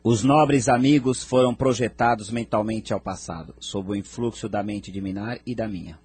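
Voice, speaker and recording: A man's voice: on the low side, normal speed, a little mellow, slightly rough. A man playing a wise, courteous spirit mentor in an audio drama, explaining things formally and didactically. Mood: calm, didactic.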